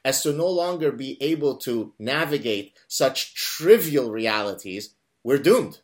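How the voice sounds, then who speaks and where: A man whose first language is Arabic, hosting an interview and telling a story in an animated, emphatic way. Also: medium pitch, a little slow. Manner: animated, emphatic, with self-corrections. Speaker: host.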